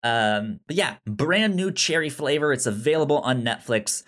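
A man co-hosting a casual review podcast, talking in a halting way with some stammering. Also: mid-range voice, animated, a bit bright. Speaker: podcast co-host.

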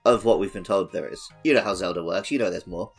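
A man's voice: low-pitched, quick pace, creaky, breathy edge. A man co-hosting a podcast in a casual, jokey and informal way. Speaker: podcast co-host. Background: music.

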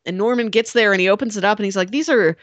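A woman speaks as a co-host in a casual film discussion, with a medium-pitched voice. She often slips into imagined dialogue to make her point. The sound is dry, with very little room in it. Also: quick pace, no echo, dull audio. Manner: acts out imagined dialogue while explaining. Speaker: podcast co-host discussing films.

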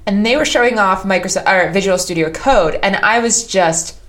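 A woman's voice: medium pitch, normal speed, a bit bright. A woman co-hosting a podcast in casual chat, talking with emphatic enthusiasm. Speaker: podcast co-host.

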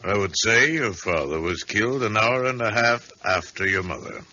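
Deep, forceful, grave doctor. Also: male voice, animated, full character voice. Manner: measured, formal. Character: grave, matter-of-fact town doctor. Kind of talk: 1950s radio drama acting. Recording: dull, noisy 1950s radio recording.

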